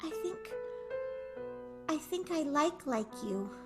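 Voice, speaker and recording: A woman playing a shy, lovestruck vaudeville performer in an improvised radio play, halting and stammering. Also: high-pitched, animated, music in the background. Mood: nervous. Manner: hesitant, stammering.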